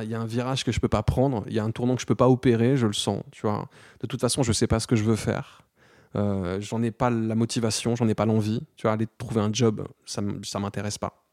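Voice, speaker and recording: Gravelly low-voiced man, halting candor. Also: darkish tone, no echo, clean studio sound. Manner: slangy, halting, many restarts and fillers. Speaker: guest. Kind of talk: candid personal interview.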